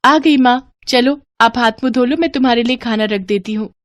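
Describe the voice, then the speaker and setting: A woman's voice: a little high, fairly quick, dry recording, voice pushed up, lightly acted. A woman voicing a sweet girlish young village daughter. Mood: affectionate. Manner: gentle, earnest, girlish.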